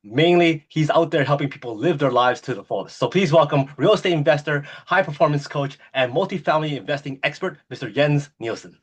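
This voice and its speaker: A man's voice: a little high, brisk, hardly any pauses, slightly rough. A man hosting an interview podcast, introducing and questioning his guest with eager enthusiasm and plenty of "uh" fillers. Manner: enthusiastic, many "uh" fillers and restarts. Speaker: podcast host.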